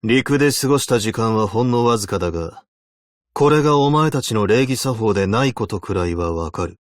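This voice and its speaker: A man's voice: lowish voice, expressive, brisk, dry recording, studio quality, close to an everyday voice. A man voicing a stern, weary veteran abyssal hunter in a video game, speaking in a terse, solemn, commanding way. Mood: measured, stern. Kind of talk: Japanese video game character voice lines.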